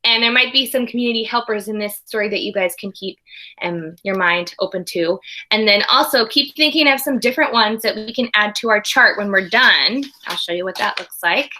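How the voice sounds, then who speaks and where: A woman's voice: medium pitch, brisk, bright, forceful tone. A woman teaching young children, reading a storybook aloud expressively and chatting to them in conversational asides. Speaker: early primary school teacher.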